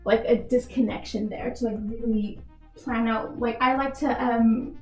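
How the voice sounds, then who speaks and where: A woman's voice: fairly high pitch, fairly even intonation, unhurried, roomy sound, faint music behind, poor audio. A young woman from Texas answering interview questions earnestly, peppering her speech with "like" as a filler. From Texas, United States. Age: young adult. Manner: earnest, filler-heavy with frequent "like". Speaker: job applicant being interviewed, retail worker.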